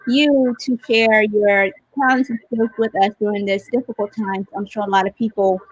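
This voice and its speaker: A woman's voice: medium pitch, fairly even intonation, normal speed, no echo, low-quality recording. A woman hosting an informal livestream, speaking in an appreciative, encouraging manner over a video call. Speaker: host of livestreamed read-along.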